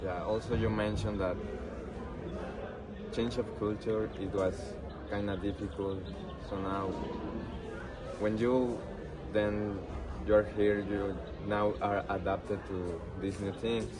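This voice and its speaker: A man interviewing someone, asking questions in halting, non-native English phrasing. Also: on the low side, level tone. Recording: distant mic, busy crowd outdoors.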